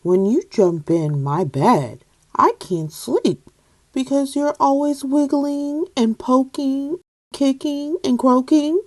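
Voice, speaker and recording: A woman's voice: high voice, animated, slow, darkish tone, voice pushed up. A woman voicing a big, loving but weary frog dad for a storybook, in an emphatic, fatherly, exasperated manner.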